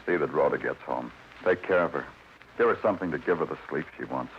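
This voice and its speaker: An actor playing a sardonic, relentless police detective with a terse, needling manner in a radio noir drama. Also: male voice, very deep voice, fast talker. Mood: calm, concerned. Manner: terse, needling, pressing questions. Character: hard-boiled New York police detective, sardonic and relentless. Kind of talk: 1950s radio noir acting. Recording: muffled, hissy old radio broadcast.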